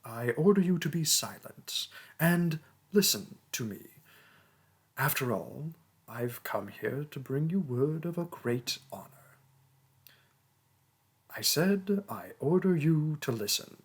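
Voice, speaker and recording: Casting: male actor as a vain sorcerer king, slow, deliberate delivery, breathy tone, condescending and grandiose, for fantasy audio roleplay. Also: on the high side, animated, full character voice. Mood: indignant, imperious. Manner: pompous, theatrical, condescending. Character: pompous, vain mage king, haughty toward his peasant subject. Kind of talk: theatrical roleplay monologue and storytelling.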